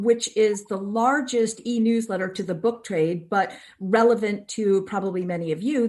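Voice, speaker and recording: Woman, event co-host, conversational opening remarks, introductions and thanks, video call. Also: medium pitch.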